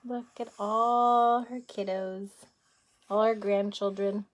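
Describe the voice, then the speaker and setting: A woman's voice: mid-range voice, steady tone, takes it slowly. A woman teacher reading a picture book aloud to young children in a playful way, with chatty asides and questions.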